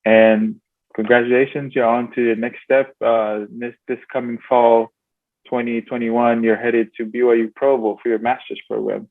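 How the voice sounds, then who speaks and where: A man's voice: medium pitch, flat delivery, normal speed, dry recording. A man hosting a casual interview podcast, talking with many fillers and restarts. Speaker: podcast host. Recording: tinny phone-like sound, dry.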